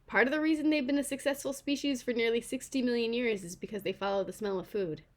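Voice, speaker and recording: A woman reading the part of a calm, practical neighbor of about seventy in a children's story, matter-of-fact and explanatory. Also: on the high side, quick pace, lightly acted. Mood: calm explaining.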